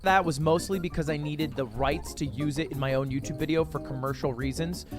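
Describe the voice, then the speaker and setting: A man's voice: fairly high pitch, brisk, over music. A man casually explaining his own video project in a confident, upbeat way. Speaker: YouTuber explaining his method.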